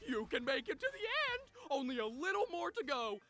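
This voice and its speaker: A man's voice: very high-pitched, sing-song, fairly quick, fairly bright tone, soft background music, pitched above the performer's own voice, heavily acted. A man voicing adoring, brainwashed bystanders who gush exaggerated encouragement in a visual novel.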